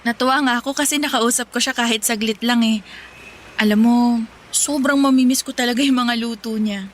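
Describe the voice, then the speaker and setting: A woman's voice: fairly high pitch, fairly even intonation, a little fast, a little hiss or hum, lightly acted. An actress in a Tagalog radio drama playing a playful, teasing young city woman with a breezy, giggly manner. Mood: warm, slightly awkward. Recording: music playing behind the voice.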